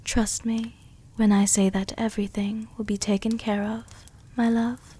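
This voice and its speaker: A woman voicing a tender, seductive, protective vampire countess in a soft-spoken ASMR roleplay, breathy and often whispering, soothing and gently commanding. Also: on the high side, little pitch movement, measured pace. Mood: tender, coaxing.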